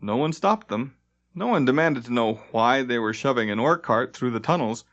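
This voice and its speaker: A man's voice: medium pitch, lively intonation, normal speed, fairly bright tone. Man, audiobook narrator, dramatic storytelling, lo-fi muffled recording. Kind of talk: scripted dramatic fantasy narration. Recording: dull lo-fi tape transfer.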